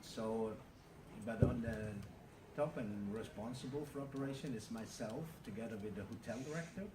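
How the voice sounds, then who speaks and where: A Norwegian man in his fifties answers in a measured, factual way, often pausing on "uh". He sits away from the mic in a roomy, echoing space. Steady noise hums behind him. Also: medium pitch. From Norway. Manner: measured, factual, with many "uh" pauses. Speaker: cruise ship captain. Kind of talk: interview answers. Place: aboard a large cruise ship. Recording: roomy, distant, noisy ambience.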